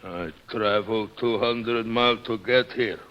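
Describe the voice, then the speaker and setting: An actor playing a big, jealous husband, weary and blunt, in halting broken English in a radio drama. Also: male voice, lowish voice, close to an everyday voice. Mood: jealous anger. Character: big jealous foreign husband, hot-tempered, weary, simple. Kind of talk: old-time radio drama acting. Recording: muffled old radio recording.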